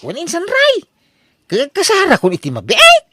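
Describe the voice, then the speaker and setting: Man acting as scheming comic husband, exaggerated delivery, hugely animated pitch, sharp bright tone, radio drama. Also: normal speed, slight background noise, heavily acted. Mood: pleading.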